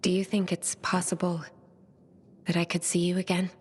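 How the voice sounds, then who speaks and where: An actress playing a shy, dreamy, bookish young woman who speaks softly and wistfully, often half-whispering. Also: fairly low pitch, completely flat delivery, moderate pace, husky.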